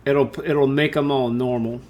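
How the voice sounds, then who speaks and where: A man giving an informal screen-share software tutorial, talking through his steps and thinking aloud as he works. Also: mid-range voice, fairly even intonation, unhurried. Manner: casual, thinking aloud, addresses viewers as guys. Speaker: tutorial host.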